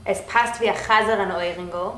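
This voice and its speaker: A woman's voice: mid-range voice, fairly even intonation, fairly quick, sharp tone. Woman reading short sayings, roomy echo. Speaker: reader of Yiddish proverbs. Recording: roomy echo with background noise.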